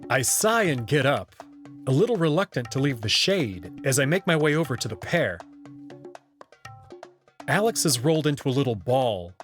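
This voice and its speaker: A man's voice: medium pitch, very animated, moderate pace, pauses often. A young adult man narrates a visual novel in the first person. His mid-range voice moves expressively up and down, with music running underneath. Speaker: narrator and protagonist reader.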